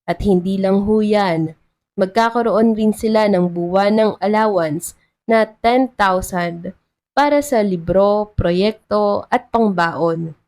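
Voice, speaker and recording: A woman voicing a benevolent male mayor giving a formal, measured public speech in an audio love story. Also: medium pitch, darkish tone. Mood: proud, formal.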